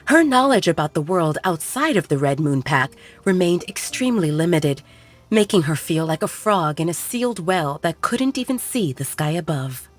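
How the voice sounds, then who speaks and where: A woman's voice: fairly low pitch, lively intonation, normal speed. A woman reading scripted dramatic narration as the narrator of an audio story. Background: background music.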